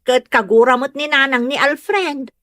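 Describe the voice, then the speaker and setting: A woman's voice: sits high, a little slow, sharp tone, dry recording, clean studio sound. A woman playing an earnest, stubborn young village woman, hurt by gossip, in an audio drama. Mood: hurt, defiant. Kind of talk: Ilocano audio drama acting.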